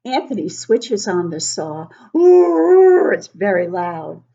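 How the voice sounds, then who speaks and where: A woman reading a picture book aloud to young children, expressively, pausing to explain things and ask the listeners questions. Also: medium pitch, very animated, vocal fry, warm tone, dull audio. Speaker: children's book club host and reader.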